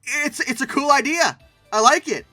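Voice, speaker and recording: A man's voice: very high voice, moderate pace, pushed and bright, no room sound, soft background music. A man hosting casual, humorous commentary, speaking emphatically and repeating words for effect. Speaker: host of a paleoart commentary channel.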